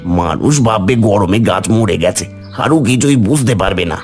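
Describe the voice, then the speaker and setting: A man's voice: lowish voice, normal speed, darkish tone, soft background music, close to an everyday voice. A man voicing a scheming, envious henchman who is servile to his boss in a village cartoon. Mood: sly, conspiratorial. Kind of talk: cartoon story dialogue.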